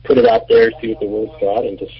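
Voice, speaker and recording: A man in his thirties, a band member and producer, answering radio interview questions in a relaxed, rambling way with many fillers. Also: fairly low pitch, quick pace, slightly rough. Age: 30s. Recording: muffled lo-fi radio recording.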